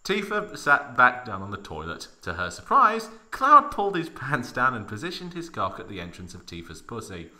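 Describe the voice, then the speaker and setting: A man's voice: mid-range voice, huge pitch swings, normal speed, hardly any pauses, sharp tone. A man narrating a comic dramatic reading in a mock-serious, theatrical manner with heavy emphasis.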